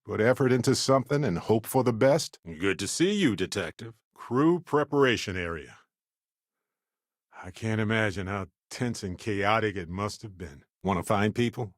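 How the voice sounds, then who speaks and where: Male actor for wry video game space explorer; lowish register, lively expressive inflection, conversational, big-hearted. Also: moderate pace, no echo, clean studio sound, lightly acted. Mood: thoughtful, conversational.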